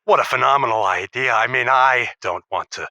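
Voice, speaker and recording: Earnest widowed caller, very bright voice. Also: male voice, medium pitch, no echo. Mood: grateful, earnest. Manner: halting, earnest. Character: bereaved, earnest failed novelist calling a radio show. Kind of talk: scripted audio comedy.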